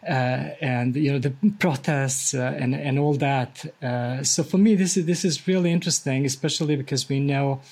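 A man's voice: mid-range voice, fairly even intonation, normal speed, hardly any pauses, darkish tone. Man hosting a podcast, hesitant delivery. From Bosnia. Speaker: podcast host. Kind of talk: conversational interview.

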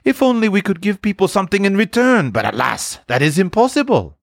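A man voicing a gentle female mouse in a storybook, speaking in a wistful, tender manner. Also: high-pitched, sing-song, brisk, creaky, no echo, studio quality, pitched above the performer's own voice, heavily acted. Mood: sad.

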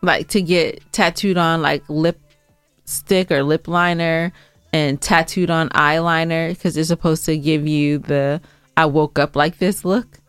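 A woman's voice: low-pitched, steady tone, unhurried, clean tone, dry recording, faint music behind. An American woman co-hosting a podcast, bantering casually in a blunt, teasing, opinionated way, close on the microphone. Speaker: podcast co-host.

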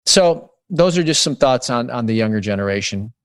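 A man's voice: medium pitch, lively intonation, moderate pace, clean tone, no echo. A man in his late thirties, a guest author talking through ideas in a podcast interview, with frequent restarts as he thinks aloud. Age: 30s. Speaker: guest author on digital wellness.

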